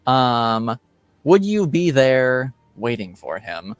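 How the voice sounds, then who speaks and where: A man acting as game master, casually moderating a tabletop roleplaying game in hesitant, pausing phrases. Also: mid-range voice, very animated.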